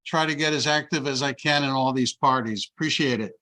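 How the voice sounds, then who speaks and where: A man giving informal remarks as an audience member on a video call, hesitant and pausing as he goes. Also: mid-range voice, bright, forceful tone. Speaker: state committee member thanking presenter.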